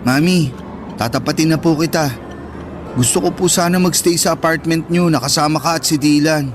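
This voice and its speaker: A man's voice: on the high side, normal speed, noisy background, close to an everyday voice. A man acting an affectionate young adult son in a Tagalog radio drama, politely and earnestly cajoling his mother. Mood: hesitant pleading. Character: affectionate, coaxing grown son, respectful and eager. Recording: music bed under the voice.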